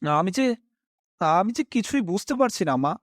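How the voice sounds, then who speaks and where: A man's voice: sits high, sing-song, a little slow, no room sound, clean studio sound. A man playing a worried, dutiful family man who tells his own misfortune as a horror story, with dramatic, emotive delivery. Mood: despairing. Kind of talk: first-person horror story narration with acted dialogue.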